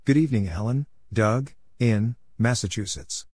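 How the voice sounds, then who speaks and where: A man reading scripted practice material as a narrator, measured and spelling out letters and numbers one at a time. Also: fairly low pitch, darkish tone, no echo, clean studio sound. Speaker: narrator of Morse code practice. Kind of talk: scripted readout of callsigns and exchanges.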